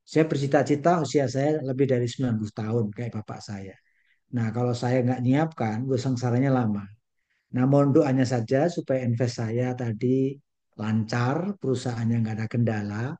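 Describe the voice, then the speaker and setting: Older male lecturer, mellow tone, folksy delivery with English phrases mixed in, video call. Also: medium pitch, fairly even intonation, moderate pace. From Indonesia. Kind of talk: online lecture.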